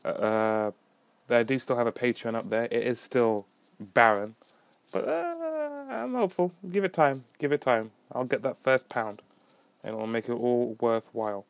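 A man's voice: mid-range voice, animated, a little slow, creaky, no room sound. A young man hosting his own podcast, rambling in a casual, self-deprecating way with wry asides. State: slight residual cough. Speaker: podcast host. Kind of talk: casual solo monologue.

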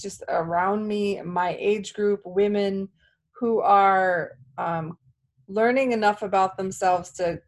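A woman hosting a podcast interview, chatty and a bit rambling as she sets up her questions. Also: medium pitch. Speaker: podcast host. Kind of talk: casual interview talk.

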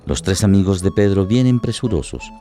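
A man's voice: sits low, lively intonation, fairly quick, warm tone, soft background music. A man delivering dramatic scripted narration for a radio play.

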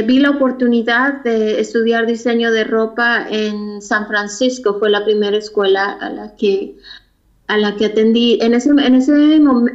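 A Mexican woman with a fairly high voice recounts her own path as an interview guest, pausing and searching for words as she reflects. The recording sounds muffled and lo-fi. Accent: Mexican Spanish. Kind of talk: personal storytelling in an interview. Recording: dull lo-fi recording.

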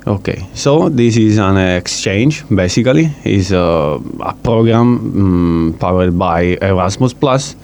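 A man with a fairly low voice hosts a relaxed radio conversation. He talks in loose, halting English with many fillers. A faint background hiss sits under the voice. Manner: hesitant, filler-heavy non-native English. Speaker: radio show host. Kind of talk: casual radio chat.

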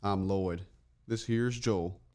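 A man's voice: deep voice, slow pace, darkish tone. A man playing a folksy, commanding Wild West survivor in an audio drama. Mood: calm, steady. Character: gruff, urgent Wild West rescuer, male survivor. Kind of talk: amateur audio drama acting.

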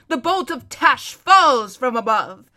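A woman's voice: high-pitched, very animated, slow, bright, forceful tone, full character voice. A woman voicing a haughty, enraged warrior prince from a storybook, howling in grandiose, humiliated indignation. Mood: grandiose battle cry. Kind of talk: storybook character voice.

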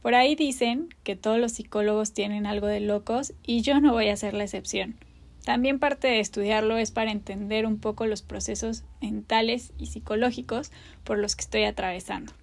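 A woman's voice: mid-range voice, fairly even intonation, moderate pace, vocal fry. A Mexican woman in her thirties, hosting her own podcast and openly introducing herself in an earnest manner. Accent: Mexican Spanish. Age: 30s. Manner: open, earnest, warm self-introduction. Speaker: podcast host. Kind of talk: personal podcast monologue.

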